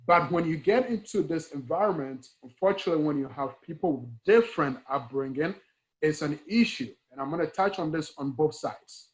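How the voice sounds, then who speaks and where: A man's voice: on the high side, animated, moderate pace, poor audio. A man from Ghana with a Ghanaian accent, talking earnestly in a conversational interview, stressing points through repetition and rhetorical questions. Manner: emphatic, repeats key words and asks rhetorical questions. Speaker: guest speaker, engineering educator.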